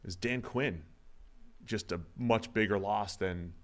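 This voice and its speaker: A man's voice: on the low side, slow pace. A man co-hosting a sports podcast, thinking aloud through football analysis in a loose, conversational way. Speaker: co-host. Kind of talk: casual sports analysis chat.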